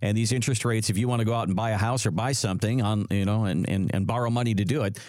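A man's voice: fairly low pitch, steady tone, quick pace, a little mellow, no room sound, clean studio sound. A man hosting a retirement radio show, friendly and chatty, with many small fillers. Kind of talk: radio finance talk.

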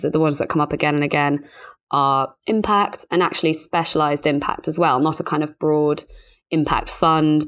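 A woman with a distinctly low-pitched voice talks as a financial journalist on a podcast. She explains industry points conversationally, in a measured and carefully qualified manner.

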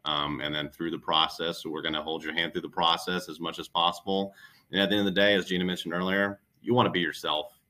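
A man's voice: deep voice, level tone, fast, sharp tone. A man speaking conversationally as a recruiter guest, coaching with emphatic, repeated phrases. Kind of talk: conversational interview advice.

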